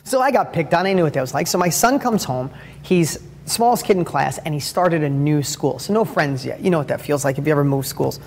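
A man with a high-pitched voice gives a motivational talk as a father and host, telling stories energetically and rambling with restarts. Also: animated, brisk, slight background noise. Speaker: host and father giving advice.

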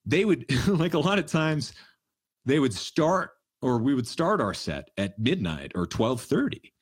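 A man in his thirties hosting a casual livestream Q&A, answering listener questions in a relaxed, rambling way and laughing often. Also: mid-range voice, unhurried, slightly rough, dry recording, clean studio sound. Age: 30s. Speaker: livestream Q&A host. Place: home in the mountains.

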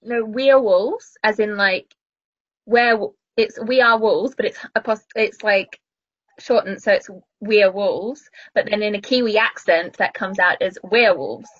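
A woman's voice: medium pitch, unhurried, fairly bright tone. A woman co-hosting a casual film chat, explaining things earnestly with many fillers. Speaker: podcast co-host. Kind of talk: casual chat about films. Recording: dry, dull lo-fi sound.

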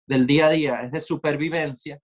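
A man's voice: a little high, steady tone, a little fast. Reflective Venezuelan man, easygoing talk. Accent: Venezuelan Spanish. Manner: reflective, digressive, with many fillers and asides. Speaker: interview guest, writer. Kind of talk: conversational interview.

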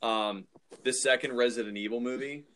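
A man's voice: medium pitch, steady tone, normal speed, fairly bright tone, a little hiss or hum. A man co-hosting a podcast, talking about films casually with exasperated, informal phrasing full of "like" and "dude". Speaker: podcast co-host. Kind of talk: casual opinionated film discussion.

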